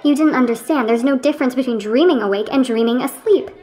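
A woman's voice: sits high, quick pace, dark tone, some chatter behind, natural voice. A woman acting in a radio play as a pompous, irritable man who lectures a friend in an indignant, condescending way. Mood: scolding.

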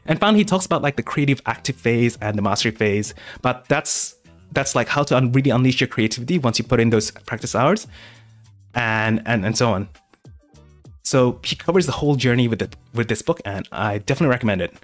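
A man's voice: mid-range voice, brisk, soft background music. A man hosting a live question-and-answer stream, talking casually with many fillers and restarts. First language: English. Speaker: art channel host.

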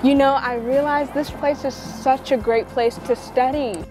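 A young woman with a very high voice chats in a friendly, upbeat style as a student vlog co-host. She is recorded outdoors, and music plays clearly in the background. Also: fairly even intonation, measured pace, low-quality recording. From Texas. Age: early twenties. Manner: enthusiastic, friendly. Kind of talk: upbeat promotional vlog, casual chat. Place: outdoors on streets and cafe patios.

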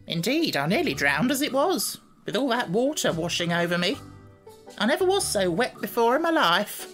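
A man voicing a small, fussy, matter-of-fact yellow hen in an audiobook, with a sharp, distinct voice. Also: high voice, big pitch swings, normal speed, soft background music, pitched above the performer's own voice. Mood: fretful. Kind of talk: audiobook character voice.

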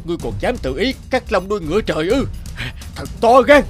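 A man voicing an angry, scheming goblin villain in a fairy-tale cartoon, shouting menacing threats. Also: sits high, very animated, a little fast, soft background music. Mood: furious. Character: angry scheming male goblin guarding magic horses.

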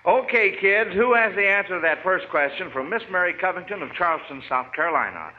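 High-pitched American man hosting old radio quiz. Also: expressive, fairly quick. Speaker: quiz show host. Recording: dull, noisy 1940s radio recording.

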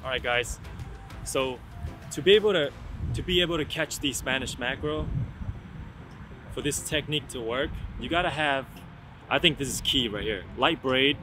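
Excited young man fishing on a pier. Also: mid-range voice, normal speed, long pauses, music in the background. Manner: excited, chatty, calls viewers "man" and "guys". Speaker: fishing vlogger. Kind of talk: casual vlog narration while fishing. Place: outdoor fishing pier by the sea. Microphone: camera-mounted shotgun mic.

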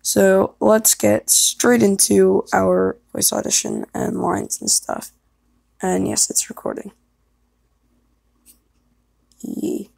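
A woman's voice: medium pitch, big pitch swings, slow pace, creaky, pauses often, darkish tone, dry recording. A young woman chatting casually and self-consciously, hesitant and apologetic as she records herself. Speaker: amateur voice actor recording an audition.

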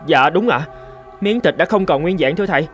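A man voicing a respectful young Buddhist monk in an animated folk tale, with a deferential way of speaking. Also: a little high, a little fast, faint music behind, close to an everyday voice. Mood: respectful, puzzled.

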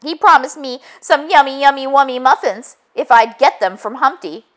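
A whiny, greedy little storybook girl, performed by a woman. She complains in a shrill, high voice, loud and petulant, with big swings in pitch. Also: animated, normal speed. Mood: pleading.

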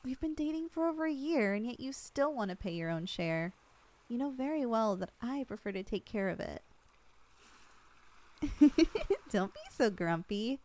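A young woman speaks in a gentle ASMR roleplay, slightly high-pitched and soft. She sounds tender and teasing, like a girlfriend talking close to you. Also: sing-song, unhurried.

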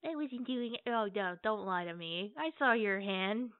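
A woman playing an affectionate, playful girlfriend in a casual, conversational ASMR roleplay, with hesitant pauses. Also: medium pitch, expressive, clean tone, no echo, natural voice. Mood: playfully scolding. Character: affectionate, playful girlfriend baking cookies at home. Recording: tinny, phone-like band-limited audio.

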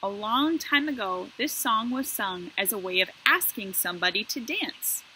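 A woman in her thirties talking to first graders as a guest story reader, explaining things simply and in an animated way. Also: mid-range voice, moderate pace, slight background noise, poor audio. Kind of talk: addressing young children, explanatory read-aloud intro. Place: outdoor covered patio.

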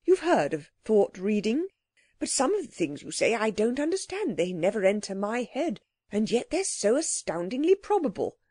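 Woman as a musing, courteous learned gentleman. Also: on the high side, big pitch swings, moderate pace, slightly rough, dry recording, studio quality. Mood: musing, bewildered. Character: kindly, absent-minded learned older gentleman, mildly bewildered.

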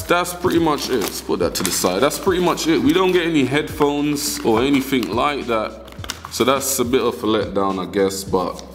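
A young man hosting his tech channel, talking viewers through an unboxing in a casual, chatty way. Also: mid-range voice. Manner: chatty, addresses viewers as guys. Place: indoor room at a wooden table.

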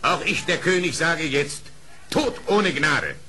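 A man's voice: a little high, animated, slow pace, bright, forceful tone, exaggerated voice. An actor playing a stern animal king in a radio play, declaiming solemn commands. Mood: stern, outraged. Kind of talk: German radio play acting.

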